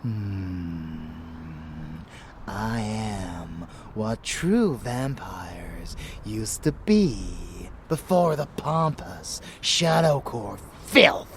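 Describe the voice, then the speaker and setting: Arrogant male vampire lover, ASMR roleplay. Extremely unhurried, theatrical, possessive delivery over clear background noise. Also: lowish voice, exaggerated voice. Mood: grandiose, intense. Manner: theatrical, teasing, breaking into whispers. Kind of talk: intimate ASMR audio roleplay.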